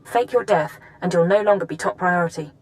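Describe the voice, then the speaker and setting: An actress playing a businesslike intelligence handler in a spy game, briefing in a measured, precise, familiar way. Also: female voice, lowish voice, lightly acted, poor audio. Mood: focused, brisk. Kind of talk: video game drama acting.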